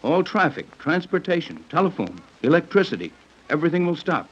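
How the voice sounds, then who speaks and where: A man playing a steady, fatherly American captain in a 1930s radio serial, earnest and reassuring. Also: fairly high pitch, fairly quick, breathy edge. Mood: grave. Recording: dull lo-fi 1930s radio recording.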